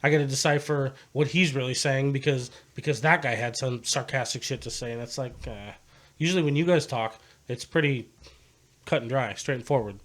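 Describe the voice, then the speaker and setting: A man's voice: mid-range voice, moderate pace, fairly bright tone. A man hosting a podcast, chatting casually in a slangy, laid-back way with heavy cursing. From United States. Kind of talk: casual conversation.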